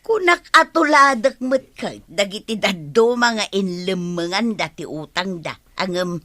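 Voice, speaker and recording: A woman's voice: medium pitch, expressive, measured pace, bright, forceful tone. A woman playing a nosy, opinionated neighbour in an Ilocano radio comedy drama, with an Ilocano accent and exclamatory questioning. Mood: opinionated, scolding.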